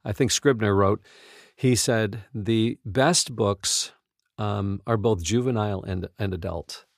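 A man hosting a podcast in casual studio chat, speaking in a halting, earnest and enthusiastic way. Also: fairly low pitch, no room sound. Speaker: podcast host. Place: recording studio.

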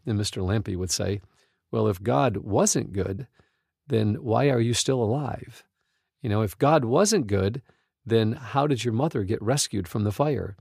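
A man hosting a devotional talk, retelling stories and quoting scripture in an earnest, emphatic, deliberate way. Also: mid-range voice, gravelly, darkish tone, dry recording, clean studio sound. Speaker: podcast host and Bible teacher.

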